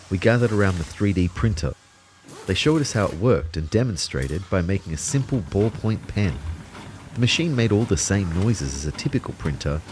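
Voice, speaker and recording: An audio drama narrator with a very deep voice. He tells his own story in measured, wry phrases, a self-deprecating everyman. There is noise on the recording. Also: darkish tone. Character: male narrator, self-deprecating everyman turned invisible ghost. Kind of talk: scripted first-person audio drama narration.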